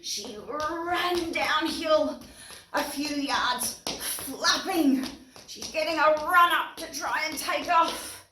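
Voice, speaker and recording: A woman's voice: high voice, slow, noisy background. An Australian woman presenting storytime for young children, reading aloud expressively and explaining things simply. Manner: expressive, asks listeners questions and explains words simply. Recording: roomy echo, distant mic, guitar music.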